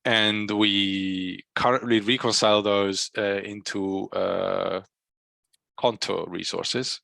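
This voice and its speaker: A man giving an informal technical status update on an online meeting call, explaining things haltingly with frequent ums and pauses. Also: lowish voice, steady tone, creaky, pushed and bright, no echo. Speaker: software working group member giving a project update. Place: online meeting call, dry close sound.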